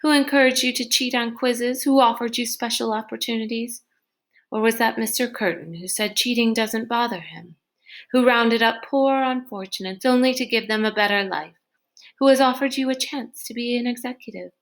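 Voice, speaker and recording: A woman's voice: fairly high pitch, moderate pace, pitched above the performer's own voice, lightly acted. A woman voicing a seductive mind-reading machine in a storybook, coaxing and insinuating.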